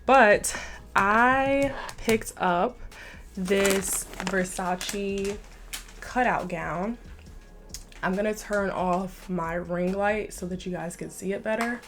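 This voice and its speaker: A woman's voice: mid-range voice, a little hiss or hum. Stuffy-nosed young woman vlogging. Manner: chatty and enthusiastic, says "like" often. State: stuffy from a slight cold. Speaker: fashion vlogger. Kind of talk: casual vlog talk to camera.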